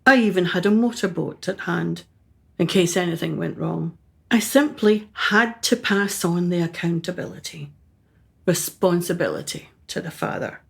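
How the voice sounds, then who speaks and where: A woman's voice: lowish voice, moderate pace, creaky, fairly bright tone. An actress playing a haughty, self-absorbed upper-class mother in a radio play, with a brusque, imperious manner and clipped phrases. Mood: defensive, haughty.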